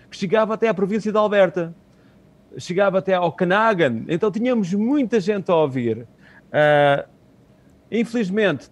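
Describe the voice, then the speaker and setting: A middle-aged man with a European Portuguese accent, answering interview questions in a halting, conversational way over a video call. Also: fairly high pitch. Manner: halting, searching for words, repeating phrases. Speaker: interview guest, community radio co-founder. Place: small room at home, on a video call. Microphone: earphone headset on video call.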